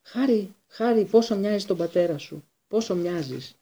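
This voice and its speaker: A man's voice: high voice, animated, dark tone, highs cut off, pitched above the performer's own voice. A man voicing a cowardly middle-aged traitor from a storybook, whimpering and pleading breathlessly. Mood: wheedling, pleading. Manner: pleading, stammering repetition.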